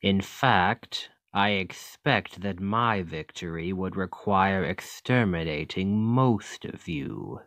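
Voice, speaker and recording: A man playing an ancient Demon King, a coldly calculating tyrant who talks in a measured, condescending, menacing way in an ASMR roleplay. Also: sits low, fairly bright tone, no room sound, heavily acted.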